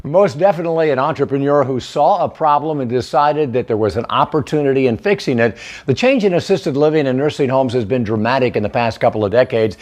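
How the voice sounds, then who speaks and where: A man's voice: medium pitch, animated, quick pace, hardly any pauses, a little mellow. A man narrating a scripted television feature as one of the show's hosts. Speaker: TV host and narrator.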